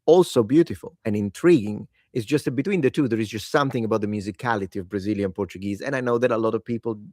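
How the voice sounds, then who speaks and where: Enthusiastic, rambling man, low voice. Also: fast, darkish tone, no echo, clean studio sound. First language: Italian. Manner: enthusiastic, rambling, self-correcting. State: tired, late at night. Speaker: language-learning video host. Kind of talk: casual solo talk to camera.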